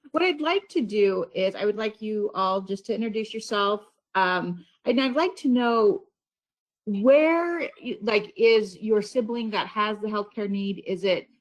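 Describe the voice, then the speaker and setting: A middle-aged woman, a parent coach, hosting a panel on a video call, halting and trailing off softly. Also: medium pitch, animated, lo-fi sound. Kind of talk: online meeting chat.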